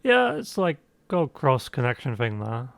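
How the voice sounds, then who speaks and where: A man's voice: medium pitch, big pitch swings, a little slow, vocal fry. A man commenting on a game, reading the choices aloud with sarcastic, mocking asides. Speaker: let's play commentator.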